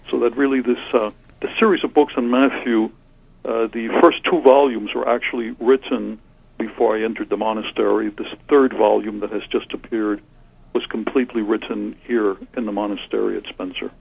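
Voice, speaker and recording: A man in his sixties from Cuba, a Trappist monk answering interview questions in a soft, reflective way with many hesitations and restarts. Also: on the low side, gravelly, slightly rough, dry recording. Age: 60s. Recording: tinny phone line.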